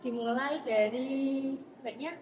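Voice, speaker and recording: A woman's voice: high-pitched, fairly even intonation, slow pace, a little mellow, slight background noise. A young woman, a counseling student, leading a group guidance session in a classroom, friendly and encouraging with many fillers. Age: young adult.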